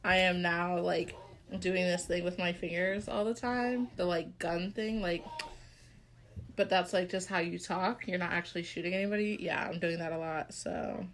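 A woman's voice: on the low side, fairly even intonation, normal speed. Chatty, congested young woman. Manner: chatty, lots of "like", laughs often. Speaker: podcast co-host. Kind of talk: casual chat.

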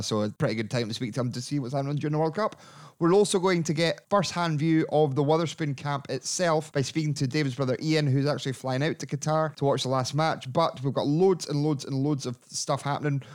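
A man hosting a podcast in a casual, joking way. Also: fairly high pitch. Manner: chatty, jokey, reads sponsor plugs. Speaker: podcast host.

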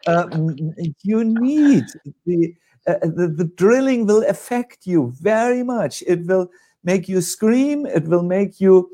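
A man with a German accent speaks as a spiritual teacher, high and very lively in pitch. His delivery is slow, with many hesitant fillers, and his tone is mellow and warm. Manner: hesitant fillers, chuckling, vivid examples. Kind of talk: answering in a spiritual discussion.

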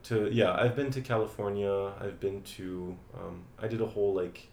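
Deep creaky-voiced young man, echoey room. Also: moderate pace. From France. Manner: relaxed, with frequent ums and uhs. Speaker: interview guest, teacher. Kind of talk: casual interview answers. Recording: echoey room with faint ambient noise.

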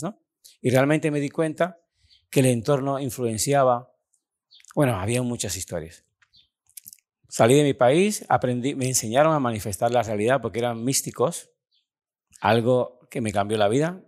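A man with a Cuban accent, a podcast guest and personal development coach, earnestly telling his life story in slow, emphatic phrases. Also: mid-range voice, darkish tone. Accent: Cuban Spanish. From Cuba. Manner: earnest, slow and emphatic, with sudden quick runs. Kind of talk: storytelling interview answers.

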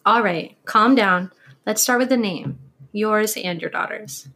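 A woman's voice: mid-range voice, measured pace, bright, forceful tone. A woman playing a composed, firm young police officer with a sarcastic streak in an audio drama. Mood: calming, firm.

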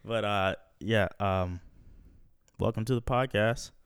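A man's voice: fairly low pitch, slow, smooth, no echo, studio quality. A young man hosting a casual podcast at home, slangy and laid-back, swearing often. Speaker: podcast host. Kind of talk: casual profane podcast chat.

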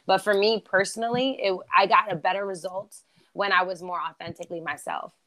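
A woman's voice: mid-range voice, fairly even intonation, fairly quick, a bit bright. A woman born in Germany with German as her first language, speaking English with an American East Coast accent in an upbeat, chatty, motivational way as an interview guest. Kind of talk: casual interview chat.

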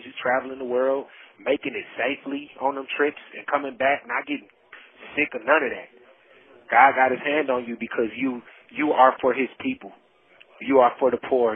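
Man testifying over a muffled phone line. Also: mid-range voice. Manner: preachy, repeats phrases for emphasis. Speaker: incarcerated storyteller. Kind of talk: impassioned testimony in casual conversation. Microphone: phone call.

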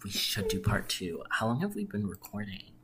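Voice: deep voice, big pitch swings, moderate pace, a bit bright, low-quality recording. A podcast co-host chatting casually about their opinions in an emphatic, slangy way. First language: non-English first language. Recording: clear background noise.

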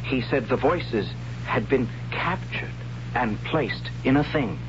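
A man's voice: mid-range voice, lively intonation, slow. An actor playing a curious, tender young man of a future tribe in an old radio drama. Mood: earnest. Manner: earnest, wondering. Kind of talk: 1970s radio drama acting. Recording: lo-fi old radio recording with hiss.

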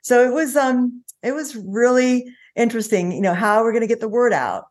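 A woman's voice: on the high side, normal speed. A middle-aged American woman and small business owner, telling her story in a chatty interview with many fillers. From United States. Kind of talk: casual interview chat.

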